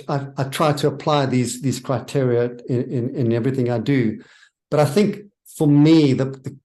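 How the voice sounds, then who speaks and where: Brief: South African man of middle pitch, church author giving measured, earnest interview answers. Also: darkish tone.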